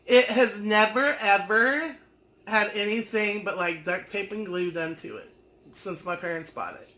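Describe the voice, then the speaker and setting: A woman's voice: medium pitch, measured pace, sharp tone, rough recording. Chatty woman in her forties. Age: 40s. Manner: chatty and folksy, teasing viewers by name. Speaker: online business community host. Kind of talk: live stream chat, reading viewer comments aloud.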